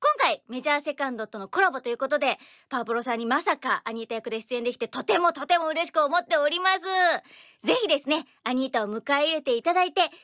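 A woman's voice: sits high, lively intonation, quick pace, fairly bright tone, dry recording, clean studio sound. A woman who works as a voice actor giving a promotional comment to game players.

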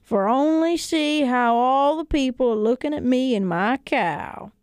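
An earnest, kindly, naive husband from a storybook , acted by a woman. The voice is on the high side and exclamatory. The studio sound is very dry. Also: a little slow, a little mellow, clean tone, dry recording. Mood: delighted, wistful. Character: excitable, naive, kindly married man in a folktale.

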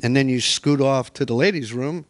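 A man's voice: medium pitch, moderate pace, no room sound. Middle-aged guy coaching a room step by step, dry close sound. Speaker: workshop presenter. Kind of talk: live workshop coaching. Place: hotel meeting room.

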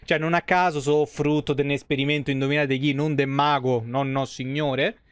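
A man in his thirties doing casual live game commentary. His voice sits a bit high, and he talks colloquially with swearing into a close microphone, very dry and without room echo. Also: little pitch movement, moderate pace, clear, resonant tone. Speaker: game streamer. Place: small room at home.

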